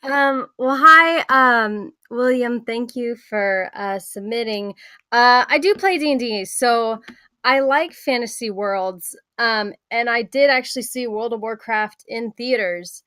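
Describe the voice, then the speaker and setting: A woman with a somewhat high voice offers casual critique. Her phrasing rambles and halts as she works through her thoughts. The sound is dry, with very little room. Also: slow pace, pushed and bright, clean tone, dry recording. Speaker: table reader giving script feedback.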